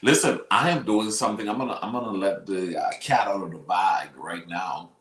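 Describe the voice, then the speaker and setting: A man from the Bahamas with a Bahamian accent, hosting a talk show in an upbeat, excitable way and repeating his phrases. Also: low-pitched, moderate pace, echoey room, slight background noise. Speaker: host. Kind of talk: casual talk show chat.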